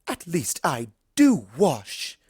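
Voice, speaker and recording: A ladylike cartoon mare, put on by a man, vain and fretful. The voice is pushed high and swoops dramatically, with slow, drawn-out, fussy words as in a storybook melodrama. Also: wildly swooping pitch, a little mellow, husky, no room sound, clean studio sound, heavily acted. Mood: fretful, haughty.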